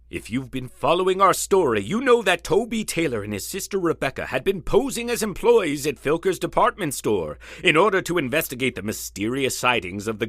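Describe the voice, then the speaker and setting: A melodramatic radio serial announcer. His pitch leaps and plunges theatrically on every key phrase. The voice is bright and forceful with a slight rasp, recorded close and dry. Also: no room sound, exaggerated voice. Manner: grandiose, dramatic emphasis. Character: booming melodramatic male announcer of a mystery serial. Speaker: narrator of an audio mystery serial. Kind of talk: theatrical old-time radio serial narration.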